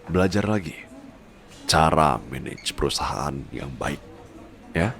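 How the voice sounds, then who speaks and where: A man playing a bossy, rich young boyfriend who is gruff yet doting and protective, in an ASMR roleplay. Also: very deep voice, expressive, measured pace, a bit bright, exaggerated voice. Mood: stern, sarcastic. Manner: scolding, insistent, code-switching with English words.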